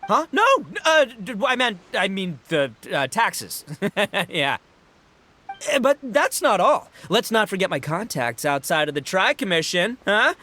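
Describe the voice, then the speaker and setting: A man's voice: sits high, sing-song, unhurried, bright, forceful tone, full character voice. A man voicing a brash, boastful young gang boss and rocker in a video game, with cocky, boisterous, slangy delivery. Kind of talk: animated video game voice acting.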